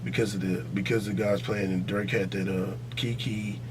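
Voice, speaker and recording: A man with a fairly deep voice and an American accent hosts a casual, argumentative podcast. He talks in slang and profanity, pressing his opinions insistently. A clear hum and room noise sit under his voice. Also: fairly even intonation, normal speed. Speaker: podcast host. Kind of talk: casual chat and debate.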